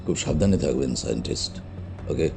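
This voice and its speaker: An actor playing a gruff, commanding middle-aged detective in an audio drama, speaking deliberately with pauses and emphatic repeats. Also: male voice, very deep voice, animated, over music, exaggerated voice. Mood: probing, cautioning.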